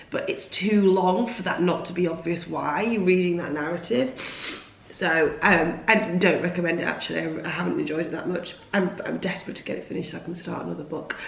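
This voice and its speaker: A woman's voice: fairly low pitch, creaky, echoey room, rough recording. A young woman who has lost her voice after a cold, chatting casually and rambling to camera from home. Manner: chatty, rambling, self-deprecating. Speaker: vlogger. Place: at home, indoors.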